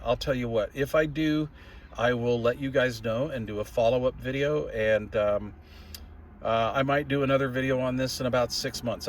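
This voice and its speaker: An older man talks in a medium-pitched voice, casually reviewing equipment as a hobbyist. His delivery is folksy and plain-spoken. He rambles from point to point like someone chatting to regular viewers. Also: a little hiss or hum. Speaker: radio hobbyist reviewer and video host. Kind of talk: casual product review vlog.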